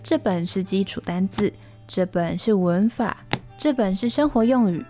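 A woman's voice: medium pitch, expressive, normal speed, faint music behind, lightly acted. A young woman acting a responsible, caring language tutor in an audio drama, instructive and reassuring. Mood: earnest. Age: young adult. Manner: instructive, reassuring, gently strict.

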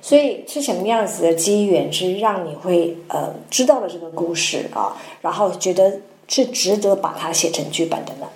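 A woman's voice: fairly low pitch, lively intonation, normal speed, hardly any pauses, a little mellow. A woman hosts a radio interview, talking with her guest in a conversational broadcast style. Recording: roomy, echoing room sound.

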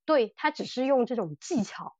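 A woman's voice: a little high, expressive, normal speed, darkish tone, dry recording, muffled recording. A woman co-hosting a podcast in a casual discussion, circling back and repeating phrases as she works out her point. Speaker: podcast co-host. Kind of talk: casual discussion of audio dramas.

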